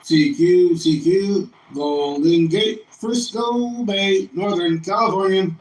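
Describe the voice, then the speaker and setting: A man operating an amateur radio, switching between drawn-out calls and friendly casual on-air chat. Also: sits high. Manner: friendly, drawn-out repeated call phrases. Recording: noisy, echoey, distant radio room with static. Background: radio noise and clicks.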